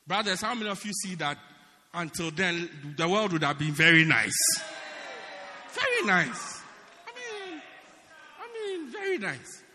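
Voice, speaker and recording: Lively, bright-voiced man preaching. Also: high voice, unhurried, pauses often, big-room sound, noisy background. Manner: dramatic, swings from loud shouting to quiet asides. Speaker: pastor. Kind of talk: sermon. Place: church.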